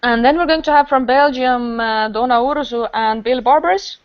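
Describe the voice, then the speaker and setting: A woman's voice: fairly high pitch, moderate pace, slight background noise. A woman chatting casually and enthusiastically on a podcast as a festival organiser, rambling as she goes. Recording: dull lo-fi podcast recording.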